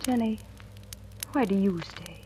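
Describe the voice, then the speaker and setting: A woman's voice: fairly high pitch, measured pace, background noise, natural voice. An actress playing a guarded, probing woman telling her suspense story in old-time radio drama style. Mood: gentle, guarded. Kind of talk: 1940s-50s radio drama acting. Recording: old radio recording, music behind.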